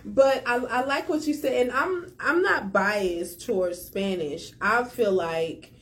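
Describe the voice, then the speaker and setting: A woman's voice: mid-range voice, slow, a bit bright. A woman hosting a casual podcast chat, speaking in an enthusiastic, chatty way and laughing easily. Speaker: podcast host.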